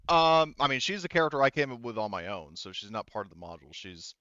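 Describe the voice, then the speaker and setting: A man's voice: mid-range voice, sing-song, quick pace, pushed and bright, clear, resonant tone, no room sound, dull audio. A man running a tabletop game in casual talk, narrating and explaining rules, often rephrasing as he goes. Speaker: game master.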